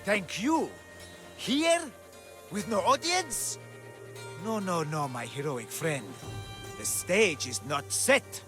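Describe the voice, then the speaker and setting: A flamboyant self-proclaimed god in a game, theatrical and grandiose. His voice sits fairly high and swings widely, and he takes his time. Music plays beneath. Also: sing-song, takes it slowly, pauses often, full character voice.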